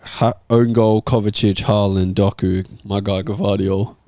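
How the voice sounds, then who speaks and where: Low-voiced man hosting football chat. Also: little pitch movement, unhurried, mellow, dry recording, clean studio sound. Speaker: football podcast host leading discussion.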